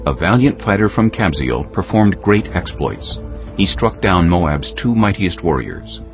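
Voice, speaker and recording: A man narrating dramatized scripture as a solemn, measured storyteller. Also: low-pitched, dull audio.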